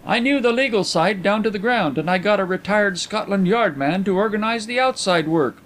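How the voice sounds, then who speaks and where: A man voicing a brisk, frank gentleman private detective in an audiobook, emphatic and slightly pompous in conversation. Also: sits high, lively intonation, pitched above the performer's own voice. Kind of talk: audiobook character dialogue.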